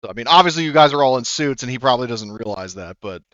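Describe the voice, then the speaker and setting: A man's voice: medium pitch, animated, very fast, fairly bright tone, no room sound, highs cut off. A man narrating as game master of a tabletop game, hesitant with many fillers.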